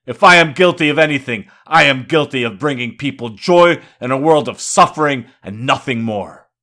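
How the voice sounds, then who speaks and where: A man's voice: mid-range voice, moderate pace, pushed and bright, natural voice. A man voicing a boastful, defiant god of wine and excess in a grandiose, insistent poetry recital. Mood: proudly boasting.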